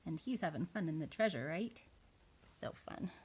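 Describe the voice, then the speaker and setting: A woman reading a storybook aloud to preschoolers, expressive, with chatty little asides to her young listeners. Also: low voice, moderate pace, husky.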